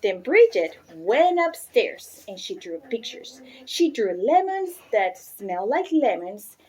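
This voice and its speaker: A woman reading a children's story aloud with expressive narration. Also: fairly high pitch, takes it slowly. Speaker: storybook reader. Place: barn.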